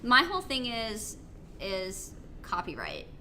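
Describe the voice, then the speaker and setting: A woman's voice: medium pitch, wildly swooping pitch, slow, bright, forceful tone, a little hiss or hum. A woman co-hosting a podcast in casual, conversational group chat. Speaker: podcast co-host.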